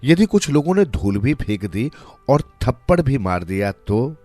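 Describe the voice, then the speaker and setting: A man's voice: medium pitch, sing-song, unhurried, a little mellow, voice pushed up. A storyteller man plays a wise spiritual teacher testing a pupil in a moral tale. The voice is instructive and questioning, lifting expressively, with faint music behind. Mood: probing, instructive. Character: calm sage teacher, probing his disciple. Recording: music under the voice.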